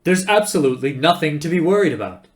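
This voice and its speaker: A man voicing a brash, cocky spaceship adventurer who talks loudly, emphatically and impatiently. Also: lowish voice, big pitch swings, brisk. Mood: brash confidence.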